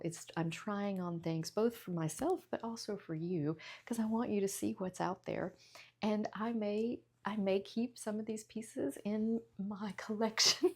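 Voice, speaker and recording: A woman's voice: lowish voice, gravelly, dark tone. A woman, chatting casually through a clothing try-on haul and laughing often. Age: 50s. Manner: chatty, laughs often, self-deprecating asides. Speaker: fashion vlogger.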